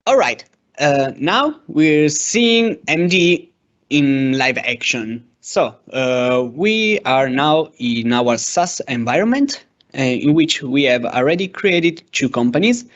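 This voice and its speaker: A man narrating a step-by-step software demo as a tutorial presenter, with a hesitant, halting delivery. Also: mid-range voice. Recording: dull, lo-fi microphone sound.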